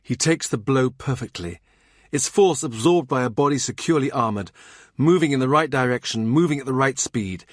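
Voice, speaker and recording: A man narrating a historical novel as an audiobook, with measured, deliberate literary phrasing. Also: mid-range voice, lively intonation, no echo, clean studio sound.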